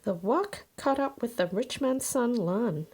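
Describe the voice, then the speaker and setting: High-pitched woman reading a children's story. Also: animated, a little slow, a little mellow, breathy edge. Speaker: storybook reader. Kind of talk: scripted children's story read aloud.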